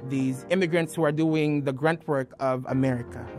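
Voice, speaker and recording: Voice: medium pitch, fairly even intonation, moderate pace, darkish tone, soft background music. A person giving thoughtful, casual interview answers in a studio.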